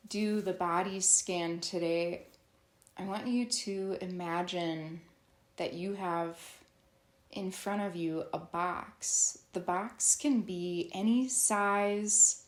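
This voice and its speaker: A woman leading a guided yoga nidra meditation as a teacher, soothing and instructional, with long pauses. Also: mid-range voice, fairly even intonation, echoey room.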